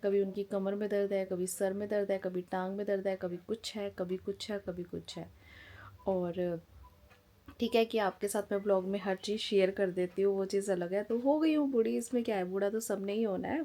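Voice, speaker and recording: 40s indian lady vlogging at home, chatty and blunt, middle pitch, phone mic. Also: dark tone. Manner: frank, chatty, talks plainly and openly. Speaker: family vlogger. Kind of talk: casual chat to camera.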